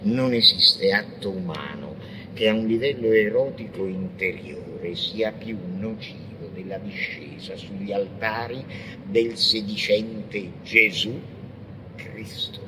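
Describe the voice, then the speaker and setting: An actor playing a frenzied, blasphemous visionary poet in an avant-garde radio monologue, declaiming theatrically and lurching between whispers and shouts. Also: male voice, lowish voice, slow pace, background noise. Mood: scornful. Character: frenzied, blasphemous visionary poet ranting against God and man.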